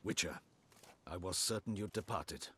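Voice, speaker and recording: An actor playing a solemn, honour-bound knight in a fantasy game, with a raspy voice and formal, courtly phrasing. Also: male voice, low voice, normal speed, sharp tone, a little hiss or hum. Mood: mournful. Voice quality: raspy, husky. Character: solemn, honour-bound male knight, loyal to his duchess.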